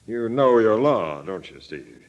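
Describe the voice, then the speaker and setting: Commanding police captain with animated delivery. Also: male voice, on the low side, a little slow, highs cut off. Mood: dry, probing. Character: brisk, commanding, no-nonsense male police captain. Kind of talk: 1940s radio crime drama acting. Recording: faintly noisy old radio recording.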